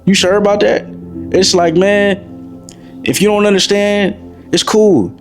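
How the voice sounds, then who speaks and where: A man's voice: high voice, little pitch movement, takes it slowly. Young man preaching self-belief over music. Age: 20s. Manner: preachy, repetitive, addresses listener as bro. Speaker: motivational vlogger. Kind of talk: motivational monologue to camera.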